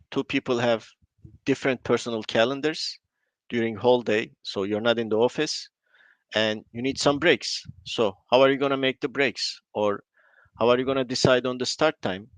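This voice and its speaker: A man whose first language is not English speaks in short, halting phrases, explaining his points plainly. His voice sits in the middle range. The recording is dry and close, with no room sound. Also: medium pitch, no echo. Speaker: conference speaker. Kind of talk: conversational conference talk.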